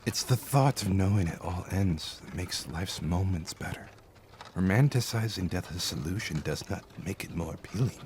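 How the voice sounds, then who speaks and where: A man plays a courteous, philosophical cart driver in a fantasy audio drama. His voice sits very low, and he speaks with formal, deferential care. A faint noise runs behind him. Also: lively intonation, moderate pace, a little mellow. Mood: reflective, earnest.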